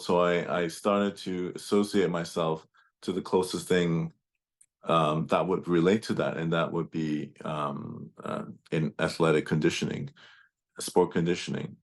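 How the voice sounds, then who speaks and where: A man whose first language is German speaks over a video call in a very low, deep voice. He talks slowly and thoughtfully, pausing and restarting as he recalls his past. The delivery is reflective and unhurried. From Turks and Caicos Islands and Germany. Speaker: guest speaker. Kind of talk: personal storytelling.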